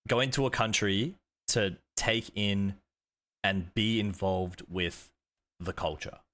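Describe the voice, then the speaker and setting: A man's voice: fairly low pitch, slow, fairly bright tone. A man hosting a casual interview, mostly giving short agreeable replies. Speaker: streamer host.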